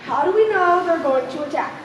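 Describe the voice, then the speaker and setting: A woman's voice: extremely high pitch, animated, a little fast. A woman acting in a stage play as one of the women at a ward meeting. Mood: skeptical. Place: theater stage, far from the mic. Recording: echoey hall from far off, faint noise.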